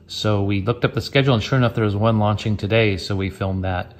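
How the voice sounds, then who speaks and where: A man's voice: on the low side, fairly even intonation, fast talker. Chatty man on a bike ride. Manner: easygoing, chatty. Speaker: RV travel vlogger. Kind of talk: casual travel vlog chat and narration. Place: outdoors, riding an e-bike by the coast. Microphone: bike helmet intercom. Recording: loud wind and road noise.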